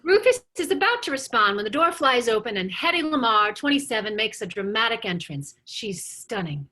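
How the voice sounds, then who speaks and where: Bright, high-pitched, animated woman narrator. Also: a little fast. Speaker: narrator reading stage directions. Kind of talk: script narration at a table read with asides.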